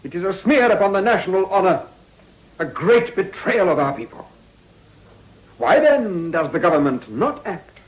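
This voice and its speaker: An actor playing an ambitious, calculating politician in a period radio drama, speaking in a formal, clipped, oratorical way. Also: male voice, sits high, very animated, moderate pace, rough recording. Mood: indignant.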